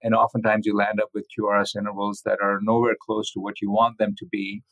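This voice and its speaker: Man, physician interviewee, low gravelly voice, dry close sound, enthusiastic technical explanations. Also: monotone, fast talker, a bit bright, dry recording, dull audio. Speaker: physician guest, researcher.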